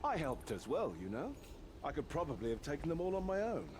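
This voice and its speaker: A man's voice: on the high side, very animated, brisk, darkish tone, slight background noise. A man playing a devout, earnest and proud knight in a fantasy video game, speaking in a formal, solemn, declamatory way. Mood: boastful. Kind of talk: scripted fantasy game acting.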